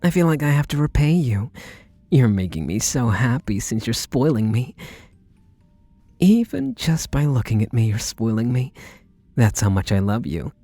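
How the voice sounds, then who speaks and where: A man's voice: mid-range voice, sing-song, normal speed, mellow, dry recording, faint music behind. A man playing an eager, submissive, lovestruck butler who gushes earnestly and pleadingly in an intimate ASMR roleplay. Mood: needy, adoring.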